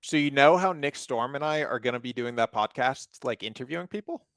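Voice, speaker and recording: A man's voice: medium pitch, brisk, a bit bright, no echo. A man co-hosting a podcast, playfully building up an announcement. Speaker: podcast co-host. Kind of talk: casual podcast banter. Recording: dry close mic.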